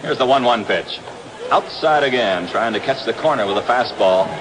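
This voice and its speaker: A man's voice: mid-range voice, expressive, normal speed, crowd noise. An American man calling baseball play-by-play in the style of a 1960s radio sports broadcast. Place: outdoor ballpark broadcast. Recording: noisy vintage 1962 radio broadcast.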